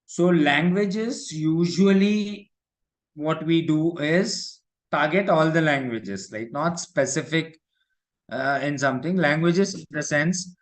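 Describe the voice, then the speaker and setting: A man with an Indian English accent explains software step by step as an instructor in a live online lesson, often adding check-in tags. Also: on the high side, slow pace. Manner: repetitive check-in tags like "right" and "okay". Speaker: digital marketing instructor.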